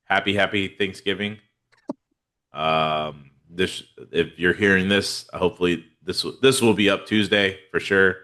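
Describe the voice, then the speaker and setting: A man's voice: low-pitched, steady tone, unhurried, sharp tone. A man with a head cold, hosting a casual football podcast in a laid-back, rambling way with lots of fillers. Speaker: podcast host. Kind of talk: casual fantasy football chat.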